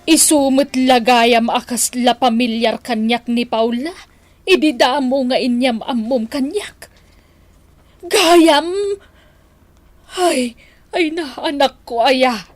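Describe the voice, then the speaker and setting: A nagging Ilocano mother of a grown son in a radio drama. She scolds in a high, slow voice with drawn-out exclamations. The sound is close and dry. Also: no room sound. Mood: surprised, scolding. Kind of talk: Filipino radio drama acting.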